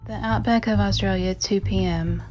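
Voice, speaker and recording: A woman's voice: mid-range voice, steady tone, normal speed, muffled recording, music in the background. A woman reading scripted narration for an adventure audio drama.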